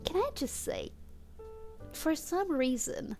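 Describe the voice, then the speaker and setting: A woman's voice: high voice, lively intonation, slow pace, darkish tone, soft background music. A woman whose first language is not English, streaming a fan fiction reading with amused, theatrical delivery and casual asides.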